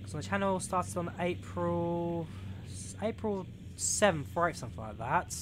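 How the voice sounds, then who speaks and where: A man's voice: sits high, lively intonation, unhurried. A young man of about twenty from England, chatting casually with his live-stream viewers while he plays. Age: young adult, turning 20. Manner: rambling and chatty, reads chat aloud with many fillers. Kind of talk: casual live-stream chat while playing a train simulator. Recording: game train noise clearly behind the voice. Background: train simulator engine and rail sounds.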